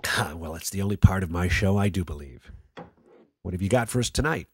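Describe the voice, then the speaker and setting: A man playing a sardonic, sceptical late-night radio host, with a wry, theatrical manner and a husky voice. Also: low-pitched, a little fast, crowd noise. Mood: dryly sceptical. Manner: wry, theatrical, deadpan sarcasm. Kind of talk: radio play acting as talk host.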